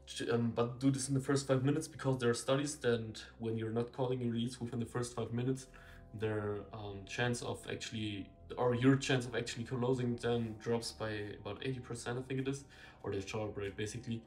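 A young man talks straight to camera in a small room, his thoughts spilling out loosely, with many "um"s and restarts. He is blunt and candid, even swearing casually. Faint music sits quietly underneath. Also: mid-range voice, a little fast. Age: young adult. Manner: rambling, filler-heavy, frequent self-corrections. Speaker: marketing consultant. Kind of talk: casual talking-head video. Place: small indoor room with a window.

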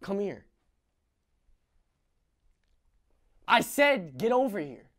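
A man's voice: high voice, fairly quick, creaky, darkish tone. A man voicing a harsh, violent middle-aged father who barks curt, threatening orders in a solo stage monologue.

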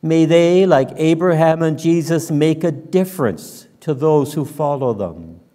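Slow, mellow older minister, reverberant church. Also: male voice, on the high side. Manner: measured, solemn, deliberate phrasing. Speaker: minister leading worship. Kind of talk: sermon and public prayer. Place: church sanctuary, at the front and pulpit.

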